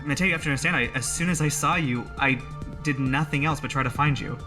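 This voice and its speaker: A man's voice: mid-range voice, fast, over music, natural voice. A man playing an earnest, flustered brother in a tabletop game, speaking hesitantly and stammering. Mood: earnest, apologetic.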